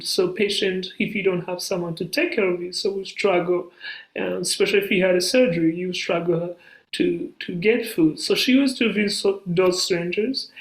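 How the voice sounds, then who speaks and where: A man's voice: high-pitched, vocal fry. A man from Burundi, a guest on an interview podcast, telling his story in an earnest, storytelling way. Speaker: guest, nonprofit founder. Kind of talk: casual interview talk. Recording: roomy echo.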